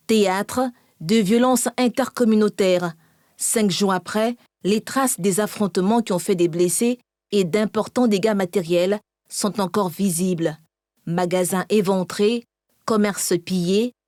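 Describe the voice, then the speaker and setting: A woman's voice: medium pitch, darkish tone, dry recording, studio quality. A woman reporter narrating a scripted television news report.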